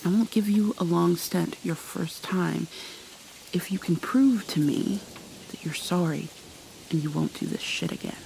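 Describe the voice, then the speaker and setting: Slow-spoken possessive yandere woman, acted by a woman, noisy recording. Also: lowish voice. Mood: cold menace. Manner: slow, soft and breathy, coaxing with pet names. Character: possessive yandere captor woman, tender then menacing. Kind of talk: ASMR roleplay.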